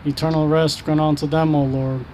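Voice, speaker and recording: Man, prayer leader, monotone, fairly high voice, solemn short phrases, noticeable outdoor traffic noise. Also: normal speed.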